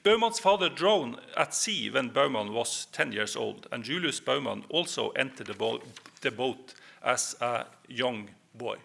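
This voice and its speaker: Man, Norwegian accent, Norwegian native speaker, history lecture, measured delivery, crisp tone, gravelly edge. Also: medium pitch, lively intonation, sharp tone. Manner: measured, reads with hesitant fillers. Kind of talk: historical presentation.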